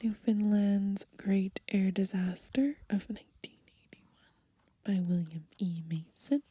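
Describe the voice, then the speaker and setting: A young woman whispering in a hushed, breathy voice for ASMR, unhurriedly reading from a book and adding gently musing asides. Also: mid-range voice, slow, dry recording. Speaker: ASMR host. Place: outdoors on grass.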